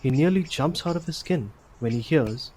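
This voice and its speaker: A man's voice: on the high side, expressive, moderate pace, a little mellow, breathy edge, rough recording. A man narrating a scripted story for an audio drama.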